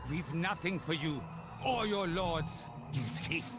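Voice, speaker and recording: Impassioned male rebel villager, oratorical, slow delivery, high voice, music behind. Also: expressive. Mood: scornful. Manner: oratorical, deliberate. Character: defiant, impassioned male village rabble-rouser. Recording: game score behind.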